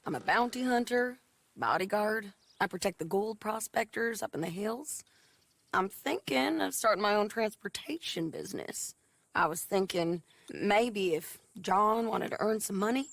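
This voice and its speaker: A tough frontier bounty hunter who talks bluntly and plainly. Her voice is gravelly, in a middle range for a woman. She states things flatly and matter-of-factly. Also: mid-range voice, measured pace, no room sound. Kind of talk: video game cutscene acting.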